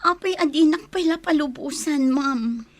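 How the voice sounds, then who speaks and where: An actress playing a meek, frightened captive maid with an Ilocano Filipino accent, pleading in a radio drama. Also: female voice, high voice, measured pace, dry recording.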